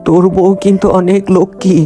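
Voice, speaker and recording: A woman voicing a gentle elderly village aunt in a cartoon, motherly and soothing. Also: mid-range voice, level tone, brisk, music in the background, pitched below the performer's own voice, close to an everyday voice. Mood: gently chiding.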